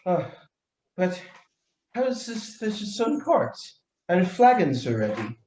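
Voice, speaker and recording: A man acting a tipsy, jovial, foolish nobleman in a radio play, slurred and halting with giggly rambling. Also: high-pitched, very animated, a little mellow, exaggerated voice. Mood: tipsy, eager. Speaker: student actor in a radio play. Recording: echoey room recording.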